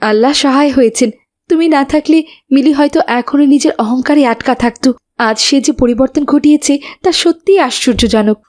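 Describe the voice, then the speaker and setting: Woman voicing a high-voiced devout cartoon mother. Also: normal speed, a little mellow, natural voice. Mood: grateful. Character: devout, anxious mother of a young girl.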